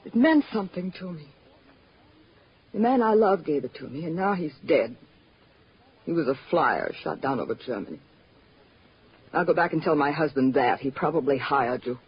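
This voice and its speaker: A woman's voice: low voice, animated, moderate pace, pauses often, slightly rough, heavily acted. An actress playing a proud, frightened young woman in trouble, in haughty 1940s radio drama style. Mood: proud, emotional. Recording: muffled old radio recording.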